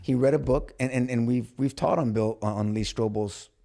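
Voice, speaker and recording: A man's voice: medium pitch, moderate pace, vocal fry, warm tone. A man hosting a podcast in casual, blunt chat, swearing freely and restarting his sentences often. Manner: blunt, profane, lots of restarts. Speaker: podcast host.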